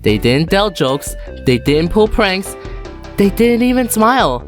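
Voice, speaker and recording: A woman narrating a children's storybook in a lively, expressive read-aloud manner. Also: mid-range voice, a little slow, faint music behind. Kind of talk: storybook reading.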